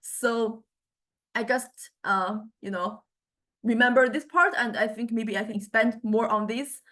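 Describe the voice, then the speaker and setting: A woman giving an informal lecture in a hesitant, halting way, often repeating and restarting her words. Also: on the high side, a bit bright.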